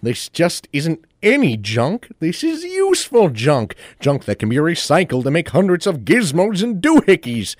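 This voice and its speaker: Casting: melodramatic cartoon superhero, grand and dim yet kindly, wildly varied intonation. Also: male voice, fairly high pitch, wildly swooping pitch, moderate pace, hardly any pauses, no room sound, studio quality, pitched above the performer's own voice. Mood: enthusiastic. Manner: pompous, melodramatic. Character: booming, pompous, dim but big-hearted male superhero. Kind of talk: cartoon audio drama acting.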